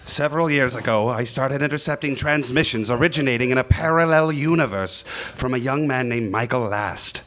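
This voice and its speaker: A man's voice: medium pitch, moderate pace, few pauses, a bit bright, natural voice. A man playing a polite, bewildered scientist who speaks formally and earnestly in a sci-fi comedy radio play.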